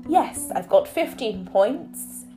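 A woman hosting her own podcast in a chatty, playful way, her voice a little croaky from a cold. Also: fairly high pitch, lively intonation, slow pace, dark tone, soft background music, rough recording. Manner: chatty and playful, teasing listeners. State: hoarse from a cold. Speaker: podcast host. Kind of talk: solo podcast talk.

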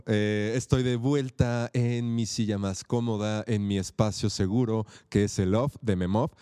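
A playful man co-hosts a podcast and plays at being offended. His voice is a little low, recorded dry and close. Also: mellow, clear, resonant tone, no room sound. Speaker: podcast co-host. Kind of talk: casual chat.

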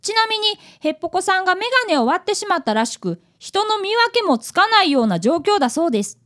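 Earnest, high-voiced boy detective. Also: very animated, a little fast, a bit bright, heavily acted. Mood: narrating, wry. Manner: polite, earnest. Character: earnest young boy apprentice detective, fifth grader. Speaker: voice actor narrating a radio drama. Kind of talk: radio drama narration and dialogue.

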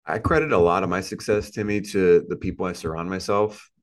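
Male, 30s, low register, earnest motivational interviewee, emphatic and repetitive delivery. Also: brisk. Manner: earnest, emphatic, repetitive phrasing with rhetorical tags. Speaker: podcast guest, entrepreneur.

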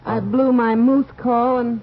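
A man playing a frail, henpecked telephone lineman who tells jokes deadpan in a 1940s radio comedy sketch. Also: very high-pitched, unhurried, background noise. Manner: deadpan, mock-grand wisecracks. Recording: lo-fi old radio broadcast, live audience.

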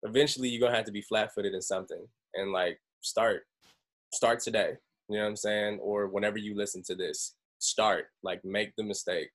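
A man's voice: fairly low pitch, moderate pace. A young man hosting a group call, talking casually and acting out quoted voices with laughs. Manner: animated, acts out quotes, laughs. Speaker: host.

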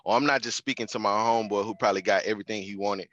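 A man's voice: lowish voice, fairly even intonation, racing, fairly bright tone, muffled recording, a little hiss or hum. A man, chatting as a podcast guest in a laid-back, slangy way. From Houston, Texas. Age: 20s. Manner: laid-back, slangy, restarts and repeats phrases. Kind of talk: casual conversational interview.

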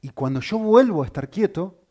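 Animated preacher. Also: male voice, sits high, fairly quick. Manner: animated, rhetorical questions to the congregation. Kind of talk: sermon.

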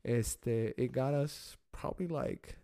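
Man, from Austin, Spanish first language, casual podcast chat, mellow low tone, code-switching. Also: slow pace. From Austin, Texas. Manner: mixes Spanish and English constantly, says "you know what I'm saying". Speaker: podcast guest.

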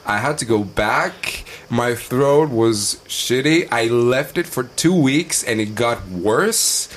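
A man's voice: medium pitch, lively intonation, takes it slowly, a little hiss or hum. A young man chatting casually as a podcast guest, telling stories in an informal, joking way. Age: young adult.

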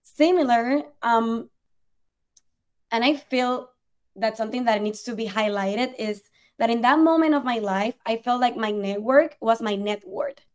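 A woman's voice: on the high side, a little slow. A young woman from Puerto Rico, a native Spanish speaker with a Puerto Rican accent, earnestly telling her story as an interview guest. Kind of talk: conversational storytelling.

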